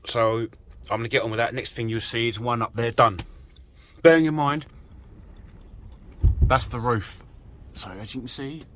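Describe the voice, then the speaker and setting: A man's voice: medium pitch, moderate pace, pauses often, a bit bright. British man rambling through DIY, noisy recording. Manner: rambling, self-deprecating, informal. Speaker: vlogger, amateur vehicle DIYer. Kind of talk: casual handheld DIY walkthrough, narrating while working. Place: in and around an off-road vehicle outdoors. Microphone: handheld phone or camera mic. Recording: noisy handheld recording with vehicle ambience.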